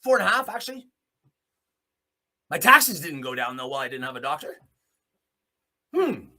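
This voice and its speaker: Blunt, bright-voiced man ranting. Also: a little high, very animated, moderate pace. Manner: blunt, swearing freely. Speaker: host of a solo news commentary show. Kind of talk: unscripted opinionated monologue.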